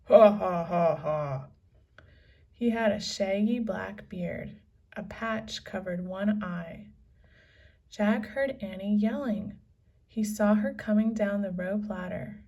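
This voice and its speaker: A woman's voice: mid-range voice, takes it slowly, a little mellow, recorded from a distance. A woman narrating a children's adventure story as a scripted read-aloud. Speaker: storybook reader.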